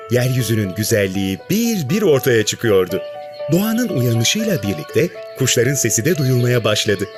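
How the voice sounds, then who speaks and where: An expressive narrator reads a children's tale. The voice sits in a middle register with lively, varied intonation. Music plays softly behind it. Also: mid-range voice.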